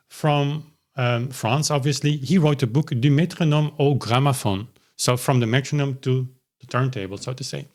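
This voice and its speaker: Rambling man talking to camera. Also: mid-range voice. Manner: rambling, with many restarts and fillers. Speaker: YouTube channel host. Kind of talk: casual talk to camera. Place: small cozy home studio.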